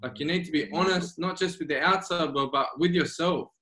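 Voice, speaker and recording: Emphatic high-voiced Australian man, roomy sound. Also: fairly quick, sharp tone. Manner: earnest, emphatic, repeats key phrases. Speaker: guest mindset coach. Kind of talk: remote interview. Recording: roomy echo.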